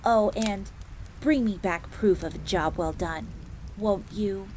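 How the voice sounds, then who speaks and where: A woman voicing a composed, commanding male master in an audio roleplay, with a smooth, knowing manner. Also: medium pitch, slow, a little mellow, a little hiss or hum, close to an everyday voice, poor audio. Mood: coolly commanding.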